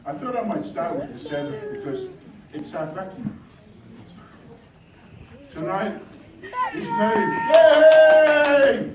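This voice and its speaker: High-voiced pastor in an echoey hall. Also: male voice, sing-song, takes it slowly, long pauses. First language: Samoan. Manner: rousing, swings from soft asides to shouted exhortation. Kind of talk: revival sermon with bilingual asides. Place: church hall. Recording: echoey hall, distant mic, background noise.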